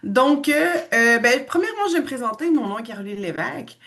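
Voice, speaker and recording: A woman's voice: on the high side, animated, fairly quick, fairly bright tone. A woman in her forties with a Québécois French accent, the director of a language school, presenting earnestly on a video call and repeating phrases for emphasis. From Western Canada. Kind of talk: online presentation.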